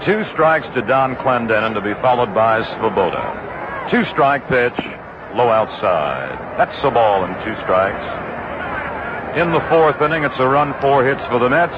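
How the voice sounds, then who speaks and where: A male radio announcer calls a baseball game pitch by pitch in a brisk, detailed way. His voice comes through a thin, phone-like 1960s AM broadcast. A steady hiss sits under it. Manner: brisk, detailed, rises in pitch on exciting plays. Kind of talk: radio play-by-play commentary. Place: ballpark broadcast booth.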